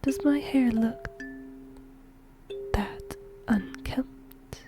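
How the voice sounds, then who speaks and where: A woman playing a whimsical death god in a whispered ASMR roleplay, gentle, musing and playful, breathy and close to the microphone. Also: on the high side, extremely slow, over music. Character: whimsical female shinigami death god fond of humans.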